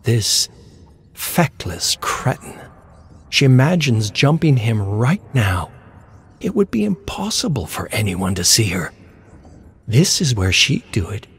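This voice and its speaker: A man reading game lore as dramatic scripted narration, in a deliberate storytelling manner with dramatic pauses. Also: mid-range voice, sing-song, a little hiss or hum.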